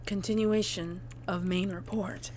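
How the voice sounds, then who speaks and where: A woman's voice: fairly low pitch, fairly even intonation, unhurried, a little mellow. A woman reading a scripted horror story as a narrator, in the form of a report. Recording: clear background noise.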